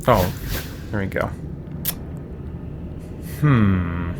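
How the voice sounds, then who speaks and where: A man's voice: low-pitched, drawn-out words, background noise. A man doing casual live game commentary, chatting with viewers and muttering mild exclamations to himself as he plays. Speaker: game streamer. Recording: loud game music behind the voice. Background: video game music and sounds.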